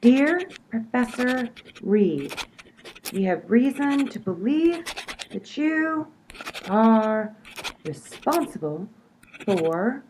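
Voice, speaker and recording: An exasperated, determined lady sleuth, acted by a woman in a comedy radio play. Her high voice swings widely and theatrically, with a little noise on the recording. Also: big pitch swings, drawn-out words, long pauses, darkish tone, heavily acted, poor audio. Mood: brisk, determined. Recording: faint background noise.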